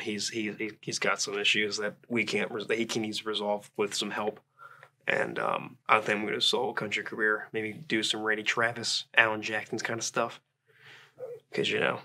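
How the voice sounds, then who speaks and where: A young man talking in a loud, halting, deadpan way as a band member in a comedy sketch. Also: on the low side. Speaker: band member in a mock interview.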